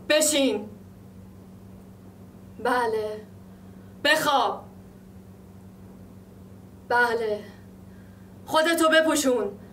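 A woman playing a lonely young woman, high and bright. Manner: hushed, halting delivery in short phrases. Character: lonely young woman waiting for her brother. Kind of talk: theatrical monologue. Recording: roomy sound from a distant mic.

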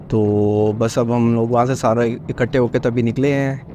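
A man in his thirties, a motorcycle vlogger, chatting in a relaxed way while riding on a highway and mixing English words into his talk. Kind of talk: casual travel narration while riding. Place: on a motorcycle on a desert highway, outdoors. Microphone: helmet or action-camera mic. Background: faint wind and engine noise.

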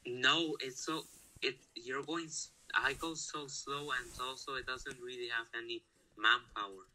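Man gaming with his friend, mid pitch, crisp and bright, hesitant delivery. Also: fairly even intonation, low-quality recording. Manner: hesitant, halting, many restarts. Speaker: gamer playing with a friend. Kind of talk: casual gaming chat.

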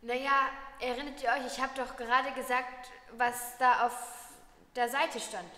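A woman's voice: high voice, little pitch movement, measured pace, bright, forceful tone, reverberant, in a large hall. A teenage girl acting in an amateur short film in a classroom, speaking earnestly. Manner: earnest, reading comments aloud. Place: classroom indoors.

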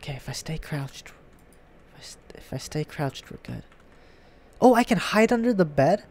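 A man's voice: on the high side, sing-song, moderate pace. Stuffy young guy playing a horror game, voice swings all over, bit of hiss. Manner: chatty and jumpy, reacting out loud to scares. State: stuffy nose. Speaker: gaming YouTuber. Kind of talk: horror game let's play commentary. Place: home gaming room, indoors.

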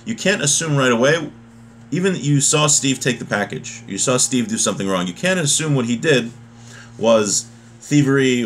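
A man in his thirties with an American accent teaching a religious text informally, reading and then explaining, often rephrasing himself. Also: medium pitch. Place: small home room, indoors. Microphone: webcam or laptop mic at desk distance. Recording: clear background room noise.

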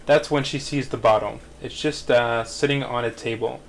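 Male narrator for screenplay stage directions, medium pitch, slight background noise. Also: fairly even intonation, moderate pace, gravelly. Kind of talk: scripted narration at a table read.

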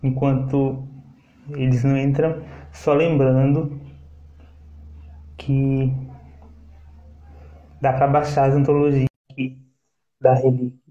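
A man's voice: mid-range voice, flat delivery, slow, long pauses, mellow. A man, an editor, hosting a casual live chat about anthologies over a video call. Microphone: laptop or phone on a video call. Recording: roomy, dull video-call audio.

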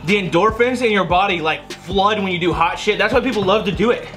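A man's voice: high voice, fairly quick. A young man in his twenties hosting a spicy food challenge, casual and slangy. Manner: excitable, slangy, running the challenge timer. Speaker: channel host. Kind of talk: casual chat. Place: indoor studio set with lights. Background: music.

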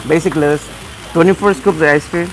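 A man, a chef, loudly and enthusiastically listing what goes into a dessert. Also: a little high, steady tone, fast, noisy background. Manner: loud and enthusiastic, listing items. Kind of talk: describing a dessert. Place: restaurant table indoors.

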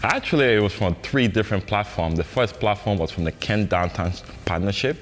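Man, 30s, Liberian accent, gym owner, earnest, deep voice, faint background music. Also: animated, a little fast. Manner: earnest, frequent "right?" tags. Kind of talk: interview answers. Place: large indoor gym.

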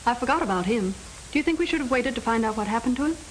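An actress playing a sweet, playful young American younger sister in a 1930s film, on a hissy, crackly soundtrack. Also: female voice, on the high side, animated, racing, lightly acted. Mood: playful, caring. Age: young adult.

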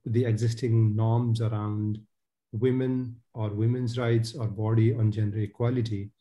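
A man's voice: on the low side, warm tone. A man, speaking earnestly as a panelist in a webinar over a headset on a video call. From Nepal. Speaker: panelist, advocacy co-director. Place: home room on a video call.

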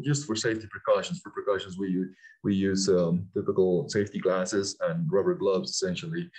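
A middle-aged man from Peru speaks with a somewhat low voice over a video call. He talks haltingly, full of fillers and restarts, then pushes ahead with sudden animated emphasis. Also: breathy edge, poor audio. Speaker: aerospace company CEO, guest. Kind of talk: casual interview talk.

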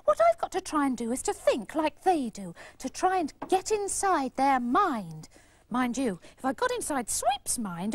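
A woman's voice: very high voice, heavily acted. A woman voicing a childlike girl puppet in a children's show, plaintive, dramatic and easily indignant.

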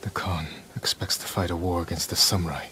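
An actor playing a grim, honor-bound young samurai in a video game, speaking in a solemn, measured and resolute way. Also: male voice, low voice, steady tone, over music, lightly acted. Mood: grim resolve. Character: grim, honor-bound young male samurai warrior seeking to save his uncle. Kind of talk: dramatic video game acting.

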